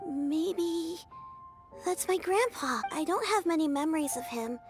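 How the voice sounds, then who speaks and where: A woman's voice: very high-pitched, lively intonation, unhurried, music in the background. A woman voicing a curious, chatty little child in a video game, with an eager, informal way of talking. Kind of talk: scripted video game dialogue.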